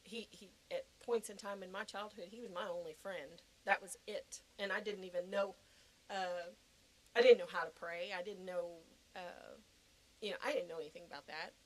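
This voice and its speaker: A woman's voice: on the low side, fairly even intonation, normal speed, rough recording. A middle-aged woman giving a personal testimony as a podcast guest, talking conversationally and earnestly and repeating phrases for emphasis.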